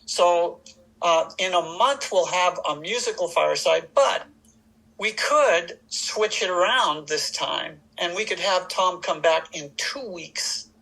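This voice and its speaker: A man hosting a video meeting, thanking the speaker and answering questions in a slow, gentle way. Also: sits high, expressive, gravelly, low-quality recording. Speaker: host. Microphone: video call.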